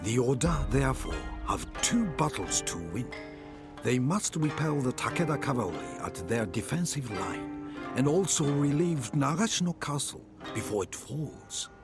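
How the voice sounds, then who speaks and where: A man narrating samurai wars for a video game cutscene as a grave chronicler, in measured, dramatic storytelling. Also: mid-range voice, big pitch swings. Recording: music under the narration. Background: cinematic music.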